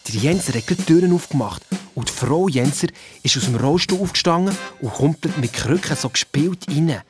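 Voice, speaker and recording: An excitable young boy detective acted by a male performer speaks with a Swiss German accent over clearly audible music. He tells his adventure in breezy, colloquial language with a boastful, bickering edge, as in a children's audio drama. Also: fairly high pitch, fairly quick, close to an everyday voice. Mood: eager storytelling.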